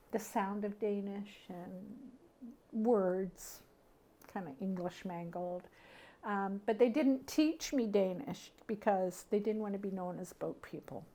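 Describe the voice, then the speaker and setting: A middle-aged woman from Canada sharing personal memories casually as an interviewee, thinking aloud in a halting, conversational way. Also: mid-range voice, darkish tone.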